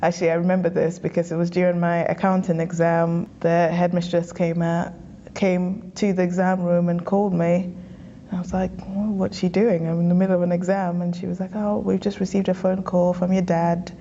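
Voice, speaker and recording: Young woman from Ghana, interview guest, mellow low-ish voice, chatty and quick to laugh, slightly dull recording. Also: level tone, slight background noise. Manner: chatty, laughs easily, frequent small restarts. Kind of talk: relaxed personal storytelling.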